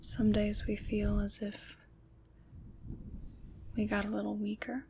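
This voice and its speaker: A woman whispering gently and reassuringly with deliberate pauses, in an ASMR roleplay as a kind, soothing witch. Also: mid-range voice, monotone, gravelly, a little hiss or hum. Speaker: ASMR performer.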